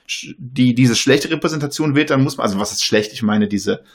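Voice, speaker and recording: A man's voice: mid-range voice, a little fast. A man who stutters, speaking in a casual panel discussion, with many fillers and restarts.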